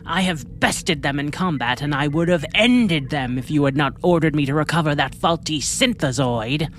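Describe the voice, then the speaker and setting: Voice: mid-range voice, moderate pace, natural voice. An actor playing a proud, impatient warrior underling in an audio drama, formal and defiant. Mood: boastful. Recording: faint noise behind voice.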